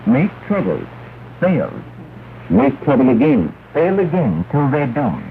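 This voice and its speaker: A man's voice: medium pitch, wildly swooping pitch, unhurried. A man announcing on a 1960s shortwave propaganda broadcast, reading solemnly and with declamatory emphasis. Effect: shortwave radio. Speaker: radio announcer. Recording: narrow, noisy 1960s shortwave radio recording.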